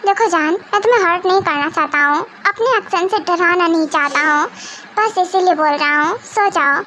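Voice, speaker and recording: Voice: extremely high pitch, a little fast, bright, forceful tone, a little hiss or hum, rough recording. A performer voicing a protective, conflicted young husband, tender and hesitant, in an amateur romantic audio drama. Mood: soothing, tearful.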